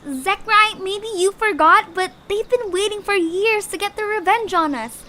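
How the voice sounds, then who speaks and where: A woman's voice: very high voice, unhurried, bright, forceful tone, heavily acted. A woman playing a worried, sensible and wry little creature in an audio story. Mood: cautious, wry.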